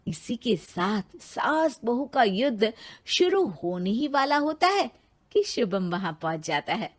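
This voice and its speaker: A woman narrating a scripted animated story as the storyteller. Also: on the high side, slow pace.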